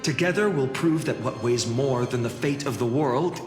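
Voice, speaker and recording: A man's voice: medium pitch, normal speed, close to an everyday voice. A man playing an earnest, burdened young warrior hero in a video game, intense and resolute. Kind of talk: English video game voice acting. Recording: echoey hall reverb, clear music behind.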